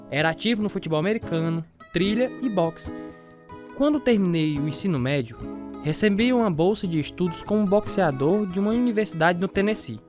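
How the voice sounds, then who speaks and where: An earnest ex-boxer narrates his own life in a radio drama. He tells it like a storyteller, with guitar music clearly heard behind him. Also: sits high. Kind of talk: first-person dramatised narration.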